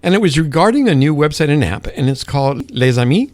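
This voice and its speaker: A male radio host with a slightly high voice and very animated intonation. He talks casually between segments and puts questions to guests, in a dry, close studio sound. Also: fast talker, dry recording. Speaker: radio morning show host. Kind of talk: casual radio talk and interviewing.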